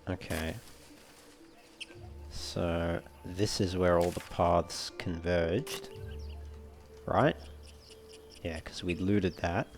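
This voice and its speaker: Low, gravelly man narrating his gameplay. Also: steady tone, very slow, long pauses, faint music behind. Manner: low-key, musing aloud as he plays. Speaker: let's play host. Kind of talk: casual gameplay commentary.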